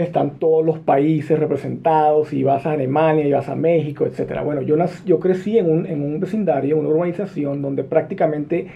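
A man in his forties who grew up in Venezuela, telling his story as an interview guest in a relaxed, friendly way with frequent fillers. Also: a little high, creaky. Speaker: interview guest, business executive. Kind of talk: conversational interview answers. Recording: muffled phone line with faint noise.

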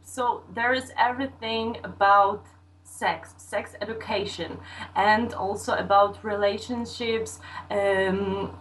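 A young woman with a Polish accent, giving casual interview answers in halting English as she searches for words. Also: fairly high pitch, a bit bright. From Poland. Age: young adult. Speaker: podcast guest, sex education video maker. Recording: distant mic with faint background noise.

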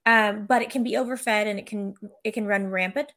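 A woman's voice: medium pitch, fairly even intonation, brisk, fairly bright tone. A woman author and coach talking in a casual conversation, explaining her ideas earnestly with occasional restarts.

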